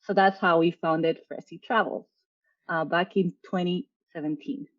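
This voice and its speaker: A woman's voice: on the low side, unhurried. Woman from Peru, lo-fi call audio. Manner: relaxed storytelling with frequent fillers. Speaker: guest, travel agency owner. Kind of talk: casual interview talk.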